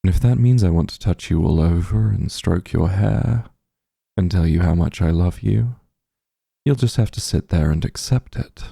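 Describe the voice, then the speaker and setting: A man playing a possessive, doting immortal demon lord in an intimate ASMR roleplay, teasing and smug, speaking softly in a gravelly, breathy voice. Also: deep voice, no room sound, studio quality, full character voice. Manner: slow, soft, teasing coaxing.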